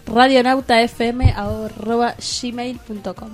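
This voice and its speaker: A woman's voice: fairly high pitch, steady tone, a little slow. A woman with a Rioplatense Argentine accent, co-hosting a radio show in a casual chatty style. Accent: Rioplatense Argentine Spanish. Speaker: radio co-host. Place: community radio studio. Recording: music behind the voice. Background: music.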